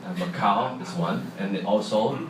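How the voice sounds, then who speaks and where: A man's voice: lowish voice, a little slow, a little hiss or hum. A middle-aged man with a Hong Kong accent, giving a chatty public talk and asking the audience questions. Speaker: speaker. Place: large hall. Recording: echoey hall from far off, faint ambience.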